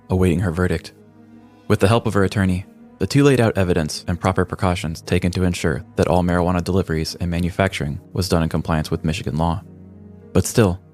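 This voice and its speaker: Man, deep pitch, storyteller narration, scripted comedic true crime, background music. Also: a little mellow. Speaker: podcast host.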